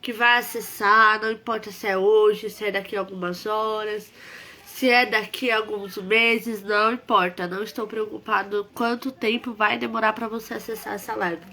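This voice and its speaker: A woman's voice: medium pitch, steady tone, normal speed, sharp tone. A woman hosting a live worship stream, speaking earnestly and repeating herself for emphasis while congested with a cold. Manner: earnest, repetitive, emphatic exhortation. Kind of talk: devotional live-stream talk.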